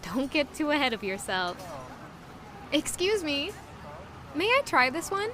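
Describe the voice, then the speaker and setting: A woman playing a shy, self-doubting young woman who was once an orphan, in an audio drama. She also narrates in the first person and talks in a soft, modest, hesitant way. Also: high-pitched, sing-song, a little slow, noisy background. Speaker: narrator and lead character.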